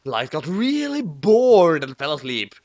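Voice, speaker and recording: A high-voiced man narrates a silly fan-fiction parody with very animated pitch. His delivery is theatrical and bombastic, deliberately childish in its phrasing. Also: highs cut off. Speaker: comic story narrator.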